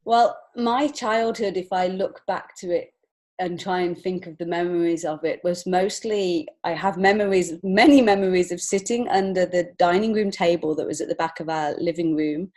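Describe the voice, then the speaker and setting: Low-pitched, earnest English woman. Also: normal speed, mellow. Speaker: podcast guest, children's author and illustrator. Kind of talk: personal storytelling in an interview.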